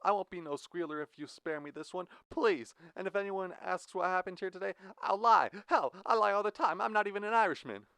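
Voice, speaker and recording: A scrawny young thief pleads in a high, rushed voice, words tumbling out, acted by a man narrating. The sound is bright and clear. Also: dry recording, heavily acted. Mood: pleading. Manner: high-pitched pleading, fast, faked Irish then drawl. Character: scrawny frightened young male car thief.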